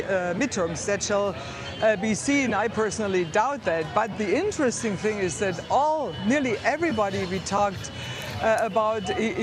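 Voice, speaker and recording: A woman with a German accent giving a live field report from outdoors, talking conversationally with frequent hesitations. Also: mid-range voice. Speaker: correspondent. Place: outdoors by a street outside a convention center.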